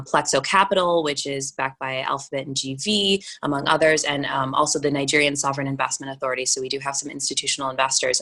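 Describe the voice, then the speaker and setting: A young American woman, a venture investor, talking fast and animatedly in an unscripted interview, with frequent restarts and fillers. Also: low voice, a bit bright. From United States. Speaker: venture capital investor, guest.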